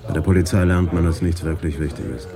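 An actor playing a dry, stoical police detective in a German radio crime drama, speaking tersely. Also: male voice, low voice, fairly even intonation, quick pace, crowd noise. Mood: dry, resigned.

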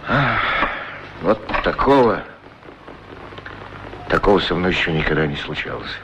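A man's voice: deep voice, lively intonation, a little slow. A man playing a melancholy, dreamy shoeshine worker in a 1960s radio play, polite, hesitant and reflective. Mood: wistful. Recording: old radio recording with clear noise.

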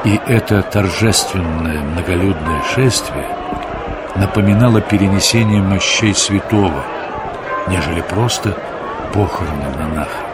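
An older actor playing a wise grandfather, narrating a radio play in a measured, instructive manner. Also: sits low, over a noisy crowd, heavy background noise. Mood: solemn, instructive. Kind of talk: scripted storytelling narration. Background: prominent new-age music.

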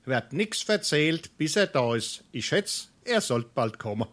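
A man's voice: a little high, sing-song, measured pace, a bit bright, slight background noise. Blunt, canny Hessian townsman. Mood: teasing, secretive. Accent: Darmstadt Hessian. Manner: dry, needling. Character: canny, blunt male townsman, a family friend. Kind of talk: dialect stage comedy acting.

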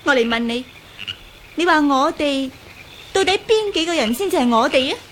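A young woman with a grudge in a radio drama. Her voice is high and she harangues with a jealous, fiery temper. A light layer of noise sits behind her. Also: animated, moderate pace. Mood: jealous, demanding. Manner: sharp-tongued, haranguing, petulant. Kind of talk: Cantonese radio drama acting.

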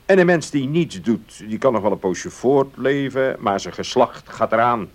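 An actor playing an opinionated gentleman baron in a radio play, arguing earnestly in a declamatory way. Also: mid-range voice, animated, close to an everyday voice. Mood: earnest, lecturing. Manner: declamatory, deliberate argument. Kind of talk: Dutch radio play acting.

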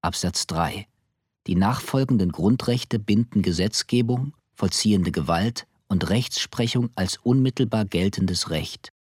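A man giving a solemn, measured, formal reading of a legal text. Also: on the low side, darkish tone, husky, dry recording, studio quality.

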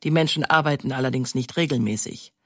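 A woman reading scripted documentary narration in a factual, measured manner. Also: very deep voice, dry recording, studio quality.

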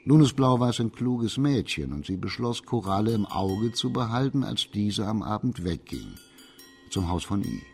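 An actor in a German radio play playing a shrewd, composed magistrate of old China who explains things deliberately and with authority. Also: male voice, on the low side, a little mellow.

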